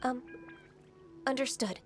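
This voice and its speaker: A woman voicing a gentle, earnest young half-immortal in an anime video game, polite, soft-spoken and hesitant. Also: high-pitched, takes it slowly, faint music behind.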